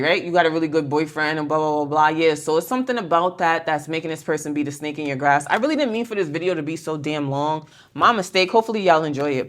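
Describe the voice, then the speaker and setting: A woman with a fairly low voice gives a casual tarot reading as the host of her own video. She speaks bluntly and conversationally, full of slang. She talks straight to her viewers like friends, animatedly spinning out scenarios. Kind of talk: casual pick-a-card tarot reading to camera.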